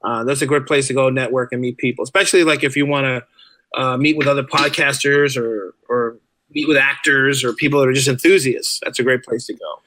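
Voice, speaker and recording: A man's voice: mid-range voice, fairly even intonation, a little fast, pushed and bright, no room sound. A talkative man speaking casually and enthusiastically as a guest in an interview. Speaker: podcast guest, writer and podcaster.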